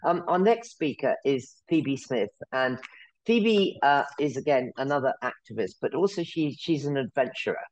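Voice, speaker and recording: A man's voice: mid-range voice, animated, moderate pace, no echo, low-quality recording. A man hosting a talk event, thanking a speaker and introducing the next one. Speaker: event host.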